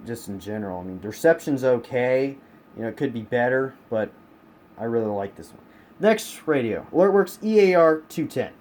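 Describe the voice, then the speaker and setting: A man from the United States giving a casual, unscripted review as a collector, often repeating himself for emphasis. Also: medium pitch. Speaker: radio collector presenting a collection. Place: indoors in a home room. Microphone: handheld camera, speaker behind it. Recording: clear background room noise.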